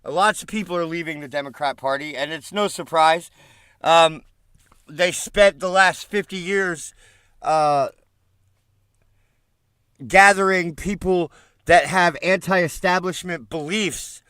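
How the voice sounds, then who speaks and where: A man's voice: on the high side, slow pace, pauses often, sharp tone, smooth, no echo. A man with a stuffed-up, congested voice, reading news aloud on a live stream and breaking off with mocking, profane asides. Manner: swears freely, mocking asides. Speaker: political commentary streamer.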